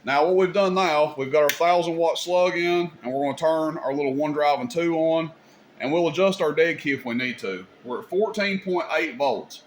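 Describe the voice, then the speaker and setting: Higher-voiced man giving a casual demo, noisy background. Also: normal speed. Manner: informal, folksy, addressing viewers directly. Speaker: video host demonstrating CB radio gear. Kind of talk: casual hands-on technical demonstration.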